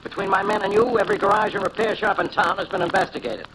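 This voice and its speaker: An actor playing a stubborn, self-satisfied police inspector, brisk and argumentative, in a 1940s radio detective drama. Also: male voice, sits high, steady tone. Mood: gruff, self-assured. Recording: noisy old 1940s radio recording.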